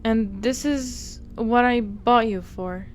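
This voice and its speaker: A woman's voice: a little high, takes it slowly, slight background noise. A woman reading a visual novel aloud as a game streamer, stumbling over words and breaking off into blunt, playful commentary. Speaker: game streamer doing a walkthrough.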